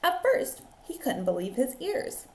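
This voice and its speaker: Woman, schoolteacher, storybook narration for children, unhurried, expressive, soft mellow tone, echoey room. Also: high voice, vocal fry. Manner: deliberate, expressive, with drawn-out phrases. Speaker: teacher reading aloud to children. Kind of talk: storybook read-aloud for young children. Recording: roomy echo on the voice.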